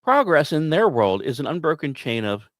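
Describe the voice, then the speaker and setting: A man hosting a podcast, reading scripted narration with casual asides in a storyteller's manner, with dramatic pauses and emphasis. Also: fairly high pitch, big pitch swings, fairly bright tone, no echo, clean studio sound. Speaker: podcast host.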